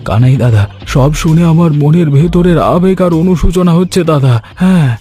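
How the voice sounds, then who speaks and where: A man voicing an earnest, caring village husband and farmer in a Bengali cartoon story, with a sentimental, measured delivery. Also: a little high, warm tone, slightly rough, faint music behind. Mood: heartfelt, concerned. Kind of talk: Bengali animated moral story dialogue.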